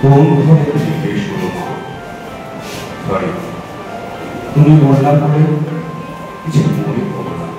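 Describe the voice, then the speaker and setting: A man acting in an audio drama as an eager, romantic older gentleman, expressive and coaxing, hesitating and repeating himself. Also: on the high side, slow, long pauses, lo-fi sound. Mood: coaxing, charming. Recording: very echoey, distant, music behind. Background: background music.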